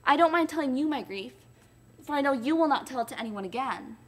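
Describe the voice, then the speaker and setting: A shy, submissive wife who speaks gently and hesitantly, stumbling over her words, as a woman reader puts her on. Her voice sits high and soft. It is a nervous storybook character. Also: animated, quick pace. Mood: tender.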